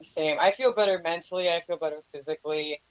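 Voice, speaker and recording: A woman with a notably deep voice talks as a guest in a casual interview, chatty and quick to laugh. She sits lower in pitch than most women. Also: rough recording. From United States.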